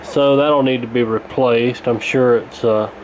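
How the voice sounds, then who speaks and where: A man presents a repair demonstration at a slow, halting pace. His manner is casual and matter-of-fact, with plenty of pauses. There is clear steady noise behind his voice. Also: mid-range voice, highs cut off. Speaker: radio repair hobbyist presenting.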